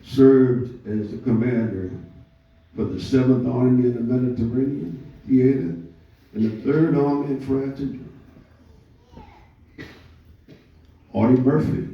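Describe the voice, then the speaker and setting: An older man with an American accent giving an oratorical public speech, with deliberate pauses and emphasis. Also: medium pitch. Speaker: speaker addressing a live audience. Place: large echoey room, speaking before an audience. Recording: echoey hall, distant muffled mic.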